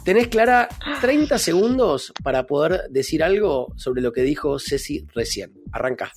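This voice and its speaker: Higher-voiced Argentine man hosting a podcast. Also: expressive, moderate pace, soft background music. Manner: informal, addresses guests by nicknames. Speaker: host and moderator. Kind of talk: informal moderated political podcast.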